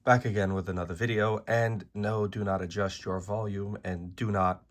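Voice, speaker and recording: A man with a very deep, hoarse voice, rough from being sick and having lost it days ago. He rants straight to camera for his card-game channel, blunt and exasperated, cursing freely as he argues his point. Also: level tone, moderate pace. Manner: blunt, profane, exasperated ranting. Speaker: trading card game YouTuber. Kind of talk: ranting video commentary.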